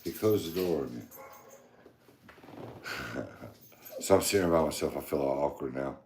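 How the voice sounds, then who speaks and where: A man hosting a podcast, joking around in casual chat with a very deep voice. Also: normal speed, warm tone, echoey room, a little hiss or hum. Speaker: podcast host.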